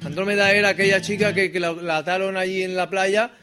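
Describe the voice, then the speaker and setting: A man with a notably high voice and a Spain Spanish accent talks over clear acoustic guitar. He rambles through self-deprecating comic banter like a singer-songwriter between songs, tossing out little tag questions as he goes. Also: fairly even intonation, brisk. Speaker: singer-songwriter and comedian performing live. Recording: live performance with acoustic guitar.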